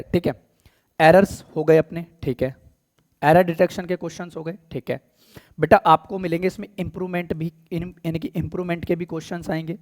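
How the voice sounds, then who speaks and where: A male teacher with an Indian accent and a hoarse, worn voice that sits a little high. He speaks softly and deliberately, stops to clear his throat, and addresses his students with fond, familiar words. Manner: affectionate, calls students "beta", clears throat often; deliberately soft, slow speech. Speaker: online exam-prep English teacher. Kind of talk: live online class, Hindi-English mix.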